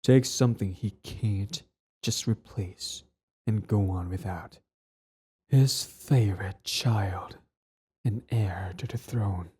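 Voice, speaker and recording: A man playing a cold, menacing young villain prince in an ASMR roleplay, with a taunting, condescending drawl and soft threats that sink toward a whisper. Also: on the low side, slow, full character voice. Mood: cold, scheming. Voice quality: husky, breathy, dropping into whispers.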